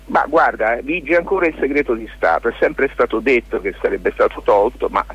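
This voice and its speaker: A man giving a spontaneous radio interview as a guest, speaking haltingly and often circling back to check he is understood. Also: mid-range voice, a bit bright, husky, lo-fi sound. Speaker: lawyer and stage author, interview guest.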